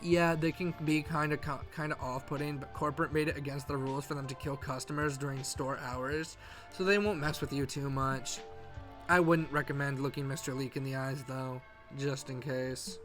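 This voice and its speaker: A man's voice: mid-range voice, fairly even intonation, moderate pace, a bit bright, soft background music, pitched below the performer's own voice, natural voice. A man voicing a restaurant waitress in a game who explains grim rules in a dry, offhand way.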